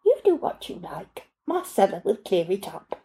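A woman's voice: medium pitch, very animated, normal speed, warm tone, close to an everyday voice. A woman voicing an imperious, self-satisfied well-off mother who talks down dismissively. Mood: haughty. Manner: haughty, dismissive.

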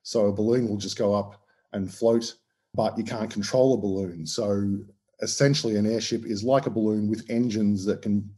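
A man's voice: lowish voice, mellow, husky. An Australian man, a historian, explaining things in an interview with many ums and restarts. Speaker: historian guest.

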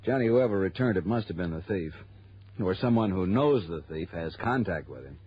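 Businesslike male insurance man, husky, emphatic, suspicious, dull vintage radio recording. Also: low voice, animated, fairly quick. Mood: urgent, curious. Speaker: supporting actor. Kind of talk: 1960s radio drama acting.

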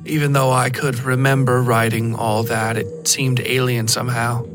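A man reading scripted horror fiction as a podcast narrator, in a deliberate, literary storytelling manner. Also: medium pitch, flat delivery. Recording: prominent music behind the voice. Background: music.

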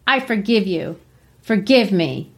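A woman's voice: mid-range voice, animated, takes it slowly. A woman reading the part of a bitter, passionate dying young woman from a classic novel, wounded, reproachful and pleading.

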